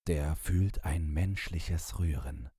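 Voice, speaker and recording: A man narrates verse in a deep, slow voice with a husky edge. His recitation is solemn and expressive, like a dramatic audiobook reading. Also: dry recording, studio quality. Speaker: narrator, author reading a classic ballad.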